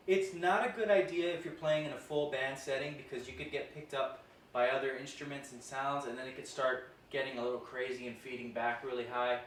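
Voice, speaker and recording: A man talks informally through a product demo, explaining as he goes. His medium-pitched voice rings with the echo of the room, and there is some noise behind it. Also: a bit bright. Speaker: host reviewing guitar gear. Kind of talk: casual product demo and review. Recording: roomy echo, noticeable background noise.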